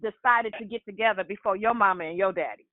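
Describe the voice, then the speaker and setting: A woman's voice: medium pitch, quick pace, no room sound. A woman in her sixties calling a prayer line, teasing the host in playful banter. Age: 60s. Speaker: caller. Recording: phone line.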